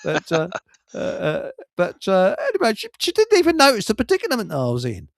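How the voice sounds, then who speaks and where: A man's voice: high-pitched, huge pitch swings, a little fast, creaky, no echo, studio quality. An older man, chatting casually as a radio host and chuckling through rambling anecdotes. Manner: jovial, chuckles through his stories.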